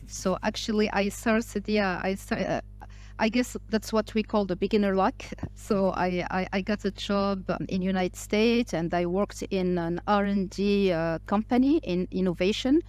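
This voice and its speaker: A woman's voice: medium pitch, a little slow, a little mellow. Hesitant woman from Morocco, interview guest. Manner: speaks English with frequent fillers, restarts and hesitations. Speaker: podcast guest, entrepreneur. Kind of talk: casual interview talk.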